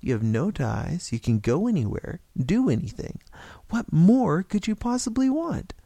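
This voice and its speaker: A man voicing a mysterious little wish-granting stranger in a story, lecturing slowly and emphatically with indignation. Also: on the high side, big pitch swings, a little mellow, no room sound, pitched above the performer's own voice, heavily acted. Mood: indignant. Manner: slow, emphatic, scolding.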